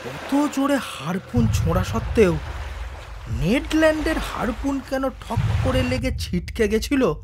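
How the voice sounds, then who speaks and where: An actor playing a bold, hot-headed harpooner in an audio drama, with an emphatic delivery. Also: male voice, very high-pitched, expressive, measured pace, noisy background. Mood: excited, explaining. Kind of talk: Bengali audio drama acting.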